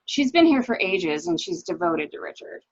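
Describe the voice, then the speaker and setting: A woman's voice: fairly low pitch, very animated, moderate pace, no echo, lo-fi sound. A woman playing a tense, evasive wife suspected of murder, in an amateur dramatic reading of a mystery. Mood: guarded explaining. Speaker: library staff reader.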